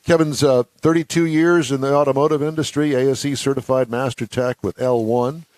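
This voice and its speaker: A man's voice: mid-range voice, fairly even intonation, fairly quick, a little mellow, dry recording. A man hosting a radio talk show from a studio, folksy and joking, sometimes tripping over long introductions.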